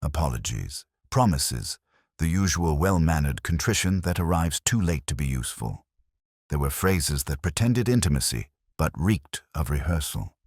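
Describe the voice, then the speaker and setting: Male narrator for literary detective fiction: extremely low pitch, expressive range, measured and brooding, close dry sound. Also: breathy edge, dry recording. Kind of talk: scripted first-person detective story narration.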